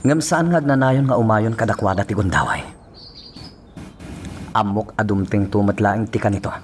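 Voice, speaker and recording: A man acting a stern low-voiced police chief. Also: normal speed, a little hiss or hum, close to an everyday voice. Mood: resolute. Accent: Ilocano Filipino. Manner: firm, authoritative. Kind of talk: radio drama acting in Ilocano. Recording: music bed behind the voice.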